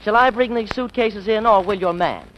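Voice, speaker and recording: A man's voice: high voice, moderate pace, close to an everyday voice. An actor playing a well-to-do young college man, casual and self-assured, in 1940s radio style. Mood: breezy. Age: young adult. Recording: dull, noisy old radio broadcast.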